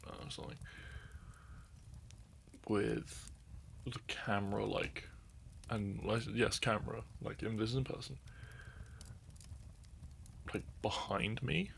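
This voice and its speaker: A man's voice: on the low side, gravelly, a bit bright, a little hiss or hum. A young man talking to his listeners in a meandering, unscripted ramble. He laughs often, loses his thread, and sips wine as he goes. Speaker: audio content creator.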